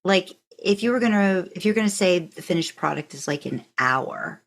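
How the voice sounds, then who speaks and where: Lady podcast host w a lowish voice, casual interview chat, encouraging. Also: lively intonation, moderate pace, vocal fry.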